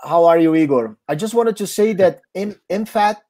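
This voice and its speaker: Mellow-voiced man reading viewer questions. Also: sits high. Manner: reads viewer messages, quick agreeing interjections. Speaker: band guitarist and co-host. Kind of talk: live Q&A chat. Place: home room, indoors.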